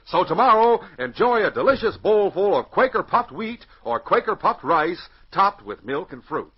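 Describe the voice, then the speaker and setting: A man's voice: sits high, big pitch swings, normal speed, slightly rough. A man with a North American accent announcing and narrating a 1940s radio adventure in a dramatic, emphatic way. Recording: muffled, hissy 1940s radio recording.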